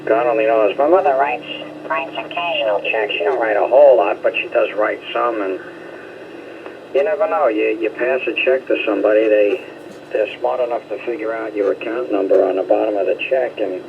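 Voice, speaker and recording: A man's voice: on the low side, brisk, vocal fry. A middle-aged man chatting in a folksy, rambling way over single-sideband amateur radio. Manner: folksy, colloquial. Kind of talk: rambling casual chat. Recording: muffled shortwave radio with static.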